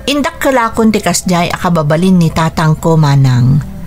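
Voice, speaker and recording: A woman's voice: lowish voice, very animated, normal speed, a little mellow, faint music behind. A woman playing a humble, hurt young letter writer, narrating in the first person for a radio drama in a plaintive, confiding manner. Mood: sad, confiding.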